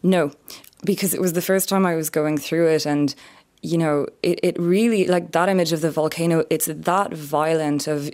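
A young adult woman from France, an author calmly explaining her experiences in an interview. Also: low-pitched. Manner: earnest, measured, explanatory. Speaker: author and survivor, interview guest. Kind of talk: reflective interview answers.

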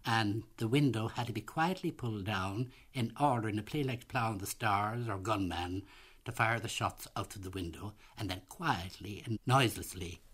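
A man's voice: on the low side, moderate pace, a bit bright, husky. An older man, a veteran producer recalling old studio days in a rambling, anecdotal way. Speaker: theatre and radio producer interviewee. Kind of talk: interview reminiscence.

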